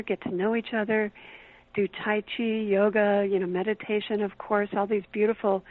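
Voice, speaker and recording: A woman author calling in as a radio guest, explaining her ideas in an upbeat way. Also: medium pitch. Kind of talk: interview answers. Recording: tinny phone line.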